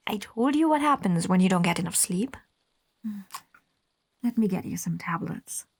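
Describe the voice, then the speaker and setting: A woman playing a possessive, jealous lover in a horror radio drama, with a condescending, deliberate, theatrical delivery. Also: mid-range voice, lively intonation, slight background noise, natural voice. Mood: cold, condescending menace.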